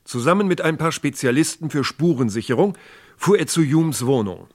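A man narrating a scripted German radio crime drama in a measured, factual manner. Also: fairly high pitch, expressive.

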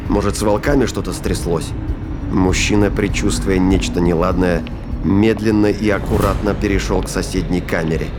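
Casting: male narrator, lowish voice, scripted dramatic thriller storytelling, set over prominent music. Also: steady tone, moderate pace. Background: prominent music and sound effects.

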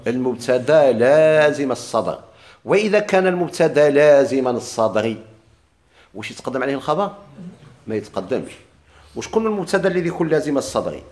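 A man teaching Arabic grammar in a Moroccan accent. He quizzes his students, repeats their answers back to them, and drags out the quoted examples slowly. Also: mid-range voice, big pitch swings, a little mellow. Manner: drawls quoted examples slowly, quick plain explanations. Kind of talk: classroom lesson, questioning students.

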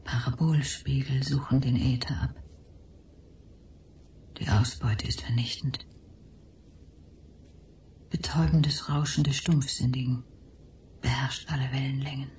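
An actress playing a troubled young woman in a visionary trance, whispering in a hushed, breathy manner in a radio play. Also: a bass voice, steady tone, unhurried, long pauses, slight background noise. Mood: entranced. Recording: roomy, distant from mic.

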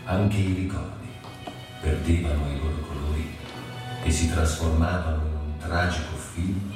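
Brooding radio drama narrator, low solemn voice, huge echo, lingers on words. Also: male voice, steady tone, measured pace. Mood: anguished, solemn. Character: brooding literary narrator of a suffering man's inner world. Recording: cavernous echo, distant, loud violin music. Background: loud violin music.

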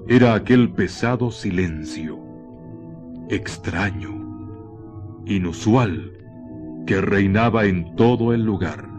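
A man narrating a scripted radio horror drama in a deliberate, suspenseful manner with weighted pauses. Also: deep voice, darkish tone. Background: faint music.

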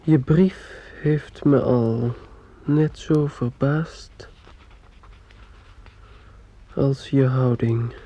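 A man's voice: medium pitch, fairly even intonation, drawn-out words, long pauses, dark tone. A man acting a troubled, withdrawn young male student in a radio drama, on a dull, muffled old recording. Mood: cold, measured.

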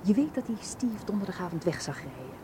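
A woman's voice: fairly low pitch, very animated, fairly quick. A woman acting in a radio drama as a determined, businesslike amateur investigator who presses insistently. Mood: resolute. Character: determined, brisk woman investigating, taking charge. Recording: noisy radio play with vehicle ambience.

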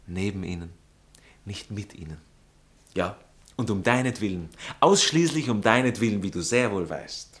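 Snobbish Austrian sporting gentleman, condescending and nonchalant, very animated intonation, vintage radio drama. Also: fairly low pitch, moderate pace. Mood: disdainful, conciliatory. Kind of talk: 1960s German-language radio play acting.

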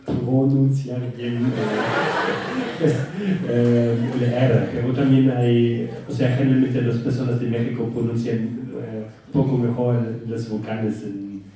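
Slow German-accented man in echoing hall. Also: medium pitch, steady tone, warm tone. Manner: halting, many fillers, self-deprecating. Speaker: guest speaker. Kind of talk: conference talk. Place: large auditorium. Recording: echoey hall from far off.

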